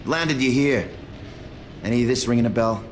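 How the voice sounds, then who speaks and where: An actor playing a sardonic, callous asylum guard in a video game, casual and taunting. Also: male voice, mid-range voice, normal speed, lightly acted. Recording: loud ambient noise behind.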